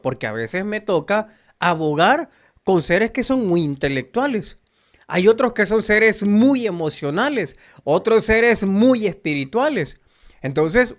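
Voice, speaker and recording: A man from El Salvador with a Salvadoran Spanish accent, giving an earnest, preachy spiritual monologue straight to his viewers. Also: sits high. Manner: earnest, preachy, addresses listeners directly. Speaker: spiritual channel host.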